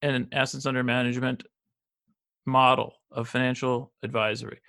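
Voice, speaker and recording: A man's voice: mid-range voice, level tone, moderate pace, gravelly, fairly bright tone. An American man in his fifties, a financial advisor talking as a podcast guest, rambling with many restarts and fillers. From United States. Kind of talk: casual interview conversation.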